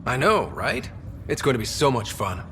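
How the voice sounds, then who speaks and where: An actor playing a young male space explorer in a video game, wry and warm, given to dry banter. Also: mid-range voice, big pitch swings, normal speed, slight background noise.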